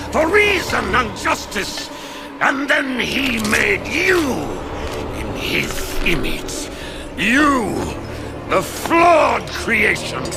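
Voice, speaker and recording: A fallen angel tyrant of hell for a game, taunting and grandiose. The voice swings high and wide, drawn out very slowly, over loud music. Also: male voice, gravelly, full character voice. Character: gloating, wrathful fallen angel ruler of hell. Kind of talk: dramatic game villain acting.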